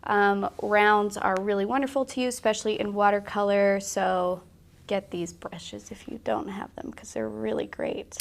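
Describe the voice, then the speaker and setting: A woman's voice: mid-range voice, steady tone, moderate pace, creaky. A woman with a slight cold hosting a live painting tutorial, chatty and laughing often, with frequent fillers. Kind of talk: casual live-stream tutorial talk. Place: art studio.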